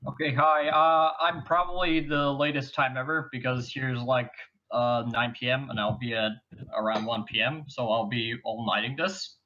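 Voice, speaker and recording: Young man from bulgaria, bright tense voice, rambling, dull lo-fi audio. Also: mid-range voice, fairly even intonation, normal speed. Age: young adult. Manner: rambling, casual. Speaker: player.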